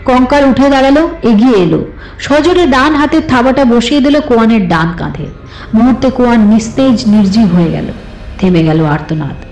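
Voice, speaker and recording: High-voiced woman narrating suspense fiction. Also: expressive, a little fast. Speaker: narrator of an audio story. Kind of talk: dramatic scripted literary narration in suspense story. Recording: slightly roomy, with music behind. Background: soft background music.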